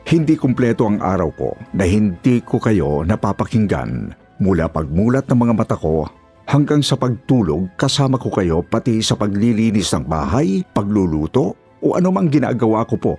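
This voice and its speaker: A man hosting a story show, reading out shoutouts and listener comments. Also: on the low side, very animated, normal speed, slightly rough, faint music behind. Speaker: host.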